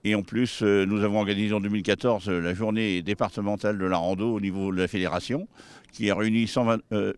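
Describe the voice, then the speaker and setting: Low-voiced man, hiking organiser. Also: dry recording. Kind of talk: informal on-location interview.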